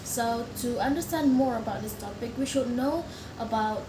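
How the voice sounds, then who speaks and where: A woman's voice: on the high side, moderate pace. A young woman from Indonesia whose first language is Indonesian, giving a recorded class presentation in a hesitant way, with many fillers and restarts. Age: young adult. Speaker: student. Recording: echoey room with background noise.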